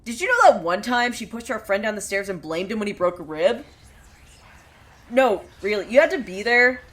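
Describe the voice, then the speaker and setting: A woman's voice: medium pitch, sing-song, normal speed, natural voice. A woman playing a sardonic, opinionated storyteller in a fiction podcast, narrating conversationally with gossipy asides. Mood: exasperated, indignant. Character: opinionated, sardonic female storyteller who gossips about townsfolk. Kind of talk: dramatic first-person storytelling.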